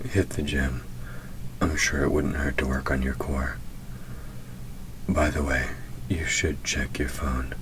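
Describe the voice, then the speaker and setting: A man voicing a centuries-old demon in an intimate audio roleplay, proud and gruff but tender, murmuring slowly and deliberately, often close to a whisper. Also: rumbling low, a bit bright, a little hiss or hum. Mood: dry, teasing.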